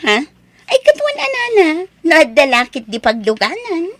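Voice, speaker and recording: A woman's voice: extremely high pitch, wildly swooping pitch, normal speed, fairly bright tone, dry recording, exaggerated voice. A woman playing an envious, proud village wife and scornful gossip in an Ilocano audio drama, with an Ilocano accent and haughty, emphatic delivery. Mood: indignant.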